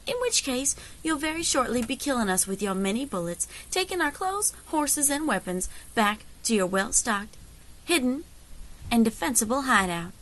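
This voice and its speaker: A woman's voice: on the high side, animated, normal speed, a bit bright, poor audio. A woman playing a shrewd, unflappable frontier scout leader in an audio drama, with a wry, persuasive drawl. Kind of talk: dramatic audio fiction acting.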